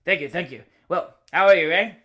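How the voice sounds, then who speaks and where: A reader voicing Mister Button, a loud, overfamiliar middle-aged man who booms and repeats himself in a storybook reading. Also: sing-song, quick pace. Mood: boisterous. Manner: booming and repetitive.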